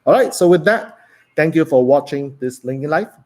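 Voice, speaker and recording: A man's voice: on the high side, fairly quick. A man with a strong Hong Kong accent hosting a solo live stream, talking energetically to viewers with frequent restarts and "okay"s. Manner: energetic, voice rising then dropping, frequent okays and restarts.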